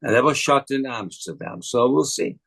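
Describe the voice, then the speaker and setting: A man's voice: medium pitch, expressive, normal speed, vocal fry, fairly bright tone, breathy edge. An older man from the Netherlands with a Dutch accent, an acting coach talking casually in an interview, hesitant with many fillers and restarts.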